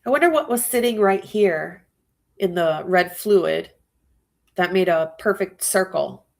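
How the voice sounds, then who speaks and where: A woman's voice: on the low side, a little slow, gravelly. A woman hosting a true crime livestream, musing aloud to her viewers with a stuffy nose. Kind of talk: casual live-stream commentary.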